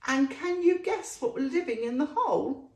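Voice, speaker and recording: A woman presenting storytime for small children, telling a story in a sing-song way with drawn-out emphasis and questions to the listener. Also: high-pitched, lively intonation, a little mellow, reverberant.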